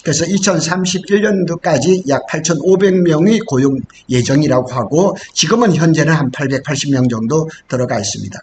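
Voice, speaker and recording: A man's voice: on the high side, a little hiss or hum. A man presenting industry news in an informal explanatory talk, stopping and starting, repeating key phrases and correcting himself.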